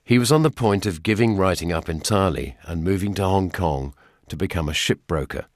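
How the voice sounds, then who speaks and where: An older English man narrates a radio documentary in a deep voice. His delivery is measured and gently wry, and the sound is close and dry. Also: no room sound. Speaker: presenter.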